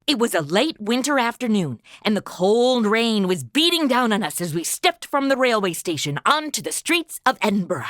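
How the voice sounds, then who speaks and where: A woman's voice: fairly high pitch, very animated, moderate pace, hardly any pauses, a bit bright. An actress playing a brash, carefree young woman in a comedy audio drama, slangy and bossy. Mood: self-assured.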